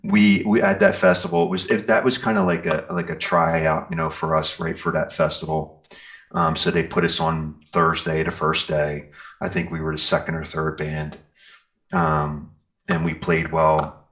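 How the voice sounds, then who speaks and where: A man speaking as a musician guest in a casual interview, telling stories in a rambling, halting way. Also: a bass voice, flat delivery, a bit bright. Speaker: guest, metal band singer and guitarist. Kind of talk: casual interview chat, recounting festival gigs.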